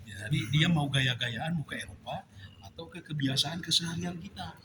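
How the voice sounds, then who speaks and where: Animated, laughing man on a panel. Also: on the high side, normal speed, background noise. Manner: animated, laughing while demonstrating gestures. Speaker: mime discussion panelist. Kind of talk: panel discussion.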